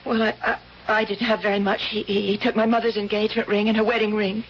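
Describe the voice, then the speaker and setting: A woman's voice: mid-range voice, flat delivery, fast talker, close to an everyday voice. An actress playing a timid, honest young working woman in a radio drama, hesitant, earnest and defensive. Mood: nervous. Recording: muffled, hissy old radio drama recording.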